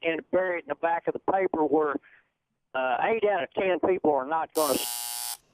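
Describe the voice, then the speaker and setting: A man calling into a radio show and talking in a halting, frustrated way. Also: fairly high pitch, a little hiss or hum. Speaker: caller. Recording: phone line.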